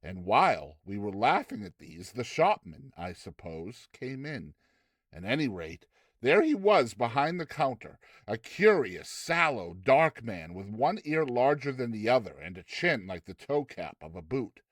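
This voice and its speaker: A man narrating a classic short story in a theatrical, expressive audiobook style. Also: medium pitch, unhurried, dry recording, clean studio sound.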